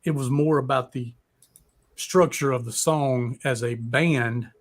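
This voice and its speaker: A male talk show host with a mid-pitched voice. He chats off the cuff, rambling through his thoughts with plenty of filler words and hesitations. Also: steady tone, measured pace. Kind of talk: casual, unscripted chat.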